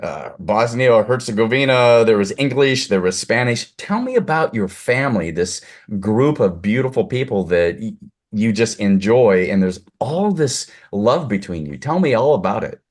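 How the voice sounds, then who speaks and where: A man's voice: fairly low pitch, sing-song, moderate pace. A man hosting an interview over a video call, effusive and full of compliments for his guest. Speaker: host, producer. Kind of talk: casual interview chat.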